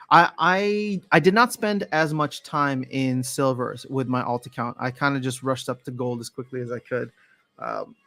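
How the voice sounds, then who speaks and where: A man's voice: medium pitch, a bit bright, no echo. A man chatting casually on a livestream, reading viewer comments and answering them, often restarting and correcting his phrases. His voice sounds a little worn from a lot of talking. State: voice slightly worn from use.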